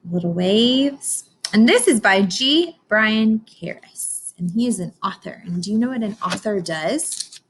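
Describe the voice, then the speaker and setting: A woman's voice: fairly high pitch, fairly bright tone. American woman teacher reading to children. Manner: instructional, prompting young listeners with questions. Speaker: teacher reading a picture book aloud. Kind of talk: children's read-aloud with simple explanations and questions.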